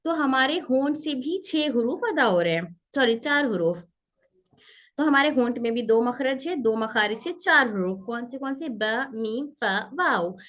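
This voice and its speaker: A woman teaching Quranic letter pronunciation in an online class, repeating sounds slowly and mixing in English words. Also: high voice, expressive, a bit bright.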